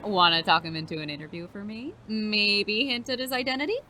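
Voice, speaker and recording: A woman's voice: mid-range voice, a little fast, pushed and bright, close to an everyday voice. A woman playing an earnest, teasing teenage girl student reporter, casual and affectionate, in an audio drama. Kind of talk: naturalistic audio drama acting.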